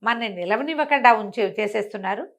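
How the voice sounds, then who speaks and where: A woman's voice: on the high side, a little fast, close to an everyday voice. A storyteller woman plays a village widow in a bedtime tale, a determined mother. She speaks earnestly and insistently to her son and neighbours in a natural, everyday voice. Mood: urgent, indignant.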